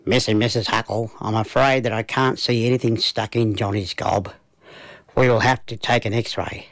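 An Australian man voicing a gentle, formal doctor in a children's story. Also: fairly low pitch, fairly even intonation, normal speed, no echo, clean studio sound, lightly acted.

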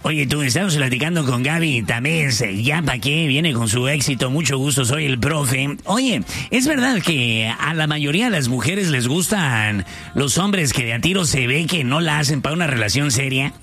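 A man's voice: medium pitch, lively intonation, moderate pace, bright, forceful tone, breathy edge, faint music behind. A man, a radio co-host in a studio booth, asking the guest direct questions.

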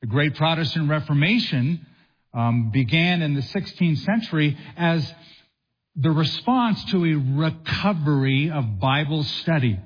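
Slow-paced husky male preacher. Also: on the high side, muffled recording. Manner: deliberate, emphatic phrasing with repeated rhetorical questions. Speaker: pastor preaching. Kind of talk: expository sermon to a congregation.

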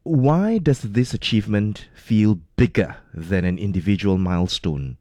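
A man from Singapore hosting an interview, chatty and lively in his questions. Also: on the low side, a little slow, no echo. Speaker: host. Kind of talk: radio interview.

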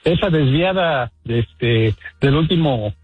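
A man with a Mexican accent calling into a sports radio show, rambling and halting as he chats about a match. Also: mid-range voice, animated, husky, dry recording, narrow, tinny audio. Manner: rambling, halting, laughs often. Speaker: caller. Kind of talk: chatty phone-in about football.

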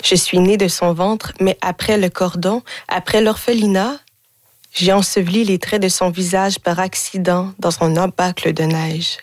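A woman in her twenties, born in Haiti, reciting her own spoken-word poetry in a measured, deliberate way in a radio studio. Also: lowish voice, fairly even intonation, no room sound. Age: 20s. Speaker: guest poet.

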